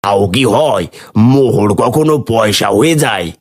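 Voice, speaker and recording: A man's voice: on the low side, expressive, unhurried. A man voicing a greedy, two-faced merchant in a cartoon folk tale, feigning honesty and protesting with rhetorical questions. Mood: feigned surprise. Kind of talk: cartoon storybook character voice. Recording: long hall-like reverb.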